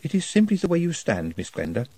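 A man's voice: a little high, huge pitch swings, quick pace, a little mellow, slightly rough, no echo, voice pushed up, full character voice. A man doing a nervous, precise scholar. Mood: earnest. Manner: precise, earnest, nervously formal. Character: nervous, formal, learned young man.

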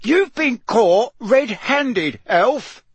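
A man voices a harsh, suspicious male official in a fantasy storybook. He barks and growls his accusations in a raised, husky voice with big swings of pitch. The manner is blustering and forceful. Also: big pitch swings, unhurried, no echo, exaggerated voice. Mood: triumphant roar. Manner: barking, growling, interrogating.

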